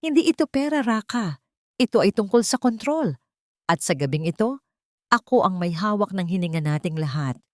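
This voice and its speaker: A woman's voice: mid-range voice, lively intonation, normal speed, darkish tone, no echo, lightly acted. A narrator puts on a rich, controlling villainess for an audiobook drama. She is cold, bitter and threatening, a woman used to giving orders, heard in a very dry recording. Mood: bitter, commanding. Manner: threatening, imperious. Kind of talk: audiobook character acting.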